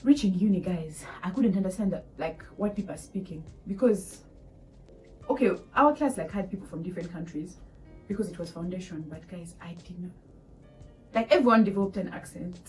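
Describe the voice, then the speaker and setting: A young woman in her twenties with a Ugandan accent, telling a casual storytime to viewers, animated and laughing often. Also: fairly low pitch, fairly quick, far from the mic, soft background music, poor audio. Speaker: vlogger. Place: small room indoors.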